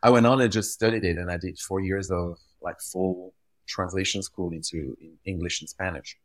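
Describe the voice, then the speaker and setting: Guy, really low voice, dry sound, talks animated and stumbles over words a bit. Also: normal speed, dry recording. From Belgium. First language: French. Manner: animated, repeats words while gathering thoughts. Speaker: guest, retail visual expert. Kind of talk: conversational podcast interview.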